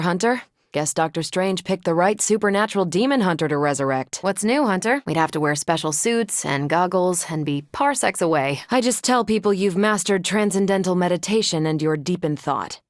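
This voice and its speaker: A woman's voice: lowish voice, no echo, clean studio sound, close to an everyday voice. An American woman voicing a confident, wry military superheroine in a video game, with a casual, dryly humorous manner. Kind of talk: video game character dialogue.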